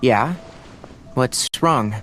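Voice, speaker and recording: An actress playing a composed young female scholar in a video game, with a measured, reserved, explanatory manner. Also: rumbling low, full character voice. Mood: matter-of-fact. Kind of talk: video game character acting. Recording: faint game music behind the voice.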